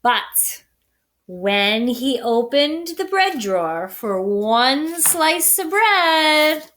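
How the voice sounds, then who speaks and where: A woman's voice: high-pitched, big pitch swings, very slow, fairly bright tone. A woman reading a picture book aloud expressively, speaking to young listeners with dramatic emphasis on words. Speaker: storybook reader for children. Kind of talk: expressive children's read-aloud.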